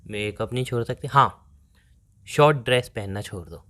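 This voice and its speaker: A man's voice: mid-range voice, normal speed, fairly bright tone, close to an everyday voice. A young man playing a demanding, teasing boyfriend who keeps badgering his girlfriend in a conversational phone-call skit. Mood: insistent, testing. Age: young adult. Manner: insistent, badgering.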